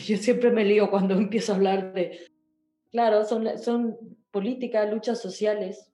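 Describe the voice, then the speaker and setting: A woman's voice: medium pitch, fairly even intonation, measured pace, dark tone, reverberant, soft background music. A woman talks casually as an interview guest, in a chatty, rambling way, often checking back with the listener. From Ecuador.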